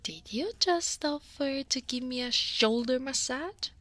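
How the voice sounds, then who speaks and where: A woman voicing a weary, easily flustered dragon office senior in an ASMR roleplay, speaking softly and breathily with a hesitant manner, often half-whispering. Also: high-pitched, unhurried, dry recording. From Italy.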